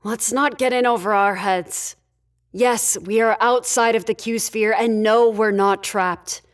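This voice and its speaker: Female starship leader played by an actress, fairly high voice, curt, firm authority, sci-fi audio drama. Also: fairly even intonation, slow. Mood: firm, impatient. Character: no-nonsense female spaceship leader, firm and impatient.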